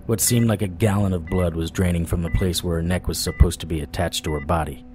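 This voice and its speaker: Low-voiced, world-weary noir detective played by an actor. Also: male voice, fast, faint music behind, lightly acted. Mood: brooding, grim. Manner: wry, deadpan. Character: world-weary, wry male supernatural private detective. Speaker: narrator and lead actor. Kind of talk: noir first-person audio drama narration.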